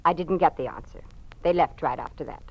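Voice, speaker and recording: A woman's voice: sits low, a little fast, highs cut off. An actress playing a stern, dogmatic woman loyal to the party in a 1950s radio drama, on an old recording. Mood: dutiful. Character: rigid, doctrinaire woman informer and party loyalist.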